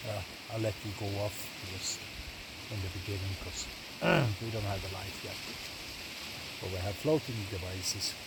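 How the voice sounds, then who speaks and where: A man from Sweden with a Swedish accent, giving easygoing, loosely phrased commentary on a river trip, recorded outdoors on a smartphone. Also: medium pitch. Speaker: kayaker filming his trip. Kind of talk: casual travel vlog narration. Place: outdoors on a river, wind and water around. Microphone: smartphone mic. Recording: outdoor phone recording with clear background noise.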